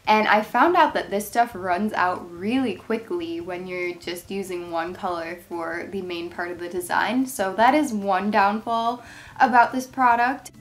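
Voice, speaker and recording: A woman's voice: medium pitch, steady tone, measured pace. A young woman in her twenties from Ohio, stuffed up and sniffly from a cold, chatting casually through a craft product review in her bedroom. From Ohio, USA. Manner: chatty, rambling, upbeat. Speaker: craft channel host. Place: bedroom, indoors.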